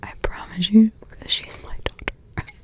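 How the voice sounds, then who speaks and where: A woman voicing a centuries-old witch who is a vampire's teasing mother, in slow, intimate whispers with soft chuckles. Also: lightly acted. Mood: teasing, gossipy. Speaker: ASMR roleplay host. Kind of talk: whispered ASMR roleplay.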